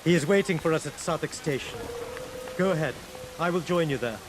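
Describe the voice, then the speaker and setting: An actor playing a courteous, idealistic young Indian prince in a Victorian video game, speaking formally and politely over music. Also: male voice, a little high, brisk, lightly acted, rough recording. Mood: courteous, pleased. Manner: formal, polite, genteel phrasing. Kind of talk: video game cutscene acting. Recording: loud music behind the voice.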